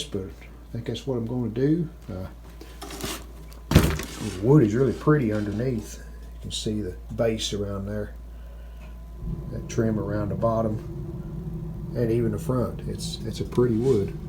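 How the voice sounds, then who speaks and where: A man giving casual, plainspoken, unhurried narration of hands-on workshop work, like a DIY video host. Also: fairly low pitch, noisy background. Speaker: host of a restoration channel.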